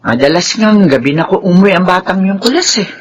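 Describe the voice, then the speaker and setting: Raspy, scolding older aunt. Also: female voice, fairly low pitch, lively intonation, fairly quick, muffled recording. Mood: concerned. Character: stern, pious older aunt, scolding but caring. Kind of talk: Filipino radio drama acting.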